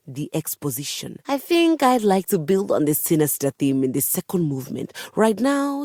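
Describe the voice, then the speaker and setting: Haughty, mellow diva piano. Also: female voice, low-pitched, husky, no echo, clean studio sound, heavily acted. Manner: refined, precise, dramatic diction with disdainful formality. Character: haughty, theatrical female grand piano with wounded diva pride. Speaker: video game voice actor. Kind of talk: character dialogue for a dating simulator game.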